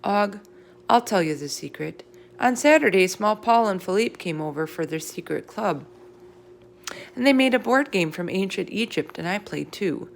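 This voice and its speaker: Lady doing tiny excitable storybook hamster guy, earnest, voice lifted higher, bit creaky. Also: moderate pace, slight background noise. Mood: confiding. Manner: eager, squeaky exclaiming. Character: small, excitable, earnest male classroom pet hamster. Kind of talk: children's storybook character voice.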